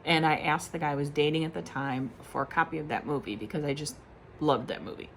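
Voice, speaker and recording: Low-voiced chatty woman vlogging at home. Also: fast talker, a little hiss or hum. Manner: chatty, frequent ums and little laughs. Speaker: beauty vlogger. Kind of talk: casual chat answering questions. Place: at home in her condo.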